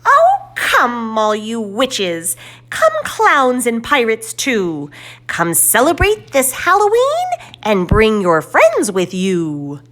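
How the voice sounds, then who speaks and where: A woman voicing a kooky, kindhearted old witch from a storybook, with a theatrical, drawn-out delivery. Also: fairly high pitch, wildly swooping pitch, a bit bright, voice pushed up, heavily acted. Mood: excited squealing. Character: kooky cackling old witch, kindhearted pumpkin pie baker.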